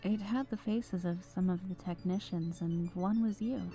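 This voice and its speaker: A woman playing a remorseful, battle-scarred renegade witch and former soldier in an ASMR roleplay, speaking softly and hesitantly, as if confiding. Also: lowish voice, moderate pace, natural voice. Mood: tentative, friendly. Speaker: ASMR voice performer. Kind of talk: scripted roleplay ASMR monologue. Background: background music.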